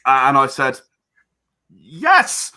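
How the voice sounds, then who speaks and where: A man being interviewed in a casual chat, speaking earnestly and often haltingly, repeating words as he gathers his thoughts. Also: medium pitch, huge pitch swings, sharp tone, slight background noise. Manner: earnest about creative work. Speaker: interview guest, game and audio drama creator.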